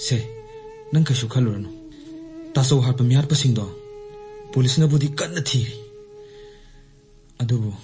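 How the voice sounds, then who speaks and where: A man acting in a radio drama as a gentle, earnest figure who consoles and coaxes. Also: medium pitch, racing, pauses often, lightly acted. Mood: consoling, earnest. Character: consoling, practical man comforting a bereaved woman. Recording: faint music behind the voice.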